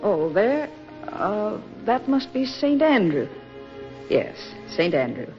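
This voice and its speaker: An actress playing a motherly, gently consoling older female friend in a 1940s radio drama, speaking expressively. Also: mid-range voice, very animated, takes it slowly. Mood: kindly. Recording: muffled vintage radio recording with music.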